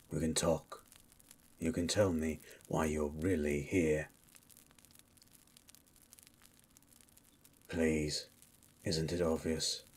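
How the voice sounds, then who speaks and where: Man voicing a suspicious monster hunter, ASMR roleplay, gruff, husky, flat and guarded delivery, dry manner. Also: heavily acted. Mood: wary, dry. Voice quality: low, gravelly-husky.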